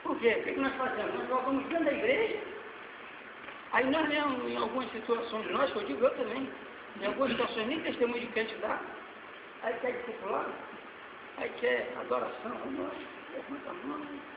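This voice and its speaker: Forties male preacher, Brazilian accent, fervent then hushed, recorded roomy and far with faint hiss. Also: very high-pitched, a little fast. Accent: Brazilian Portuguese. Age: 40s. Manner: swings between loud exhortation and quiet asides. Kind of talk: sermon with slides. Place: church hall. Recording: echoey hall, dull lo-fi sound, faint noise.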